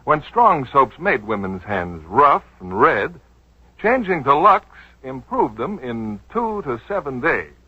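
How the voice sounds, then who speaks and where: A man's voice: mid-range voice, big pitch swings, slow pace, husky, dry recording. A man announcing a scripted 1940s radio commercial with an emphatic sales delivery. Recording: dull 1940s radio broadcast recording.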